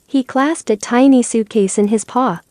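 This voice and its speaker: A woman reading a children's bedtime story aloud in a measured storytelling manner. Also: on the high side, warm tone, no echo. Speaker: storybook reader.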